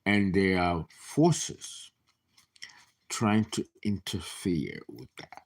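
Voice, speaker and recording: Slow, lively tarot-reading man in his fifties. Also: low voice, gravelly. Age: 50s. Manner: animated, chatty, laughing asides, sudden whispers. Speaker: tarot reader. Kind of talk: casual live tarot reading.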